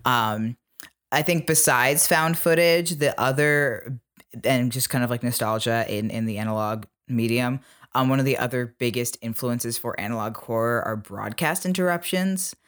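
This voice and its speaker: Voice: medium pitch, normal speed, fairly bright tone, clear, resonant tone. A podcast co-host talking casually and analytically, explaining things point by point.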